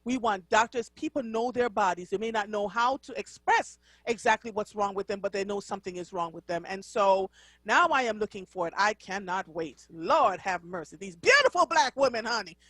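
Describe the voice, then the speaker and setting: A woman's voice: medium pitch, lively intonation, normal speed, a bit bright, no room sound. A middle-aged woman from Jamaica with a Jamaican accent, hosting a live radio talk show in an effusive, exclamatory way and laughing often.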